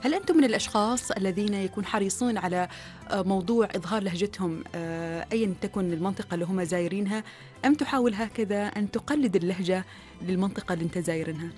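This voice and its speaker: A woman's voice: mid-range voice, very fast, a little mellow, soft background music. A woman with an Omani Arabic accent co-hosting a radio talk show, chatting casually with many fillers.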